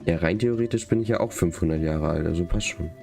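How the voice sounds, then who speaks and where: A man's voice: sits low, fast talker, vocal fry, a little mellow. A man doing casual livestream commentary on a game, with wry joking asides and some dialogue read aloud. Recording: game music behind the voice.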